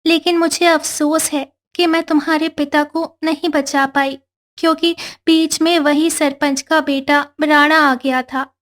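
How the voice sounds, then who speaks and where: A woman voicing a gentle, sorrowful young mermaid girl telling her own story in a cartoon. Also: high-pitched, level tone, measured pace. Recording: faint background music.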